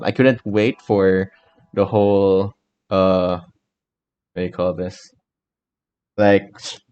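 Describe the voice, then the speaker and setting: A man's voice: on the low side, darkish tone, lo-fi sound. A man livestreaming a video game in casual, scattered chat, repeating himself and swearing. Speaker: game streamer. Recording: muddy, noisy amateur stream audio. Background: game music.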